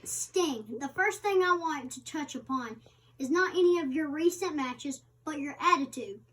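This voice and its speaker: High-voiced woman reading aloud, off-mic. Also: fairly bright tone. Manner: halting reading with stumbles. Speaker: co-host reading the interviewer's part. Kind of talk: reading a magazine interview aloud. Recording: distant microphone.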